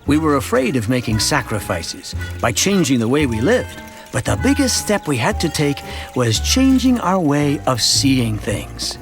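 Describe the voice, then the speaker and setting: Cartoon narrator: contented male townsman, conversational first-person storytelling, very varied pitch, music underneath. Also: big pitch swings, a little slow. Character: contented everyman townsman telling his city's story.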